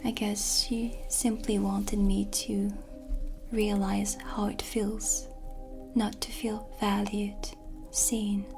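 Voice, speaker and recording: A gentle, wistful witch played by a woman whispers very softly and slowly in an ASMR fantasy roleplay. Her pitch stays fairly even, breathy and soothing, with music playing behind her. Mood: reflective, regretful. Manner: slow, soothing, unhurried.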